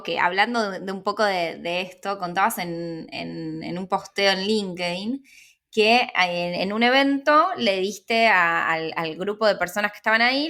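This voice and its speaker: A woman's voice: medium pitch, a bit bright. Argentine woman interviewer, hesitant and conversational. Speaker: interviewer, host.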